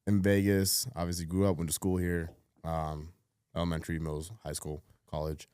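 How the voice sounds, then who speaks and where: A man in his thirties, born in Cuba, telling his life story as a podcast guest in a relaxed way, with lots of fillers, often trailing off quietly. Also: deep voice, brisk, clean tone, no echo, clean studio sound. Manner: laid-back, many fillers, drops to a mutter at phrase ends. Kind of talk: casual storytelling chat.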